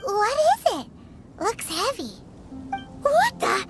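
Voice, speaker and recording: A woman's voice: very high voice, very animated, slow pace, faint music behind, full character voice. A woman voicing a tiny, cheeky fairy companion in a video game, chatty and full of exclamation. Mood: surprised.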